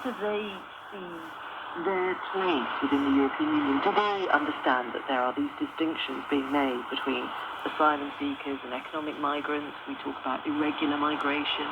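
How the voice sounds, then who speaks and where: Probing radio presenter on muffled, noisy shortwave. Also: medium pitch. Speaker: radio presenter, discussion moderator. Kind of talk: broadcast interview questioning. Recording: muffled shortwave radio, heavy static noise. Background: loud shortwave static.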